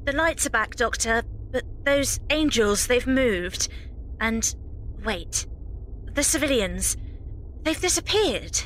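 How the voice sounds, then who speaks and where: A woman as a high-voiced, plucky companion. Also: animated, slow, pauses often, a bit bright, poor audio. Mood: anxious. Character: plucky, questioning female time-traveller companion. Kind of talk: amateur audio drama acting. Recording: faint background ambience noise.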